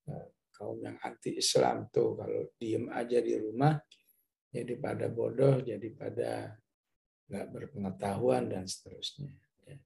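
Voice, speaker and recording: A man giving a religious lecture as an Islamic teacher, explaining scripture in a didactic, halting way with frequent confirming tags and quoted Arabic verses. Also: medium pitch, creaky, warm tone. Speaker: Islamic teacher, Quran commentator.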